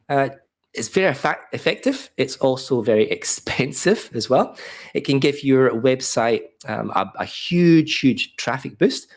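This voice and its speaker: An Australian man with a cold and a croaky voice, presenting a beginner webinar in a chatty way with many ums and uhs. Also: mid-range voice. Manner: chatty, self-deprecating, frequent ums and uhs.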